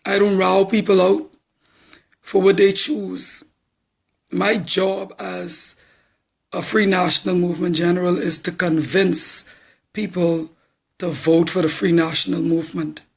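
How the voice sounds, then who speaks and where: A hoarse man in his forties with a Bahamian accent, talking bluntly and plainly to viewers on a live social media stream. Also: high-pitched, little pitch movement, a little slow. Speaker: political supporter.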